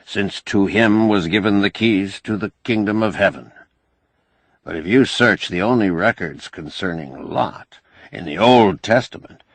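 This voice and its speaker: A man's voice: sits low, fairly bright tone, dry recording, exaggerated voice. A man voicing a cantankerous, learned older man in an audiobook, lecturing in a wry, pedantic, argumentative way. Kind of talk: audiobook character dialogue.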